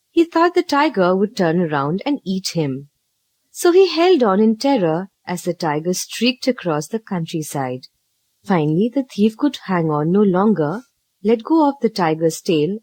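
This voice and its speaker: Expressive woman storyteller, lively pitch swings. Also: no room sound. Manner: expressive, dramatic read-aloud delivery. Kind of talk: scripted children's story narration.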